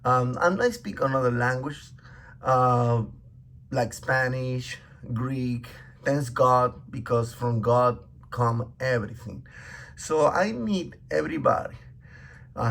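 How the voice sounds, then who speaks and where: Hebrew-speaking guy explaining in broken english, slow and raspy, lots of restarts. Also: medium pitch, a bit bright. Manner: halting, searching for words, many restarts and fillers; earnest and emphatic. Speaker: Bible teacher. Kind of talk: casual talk to camera.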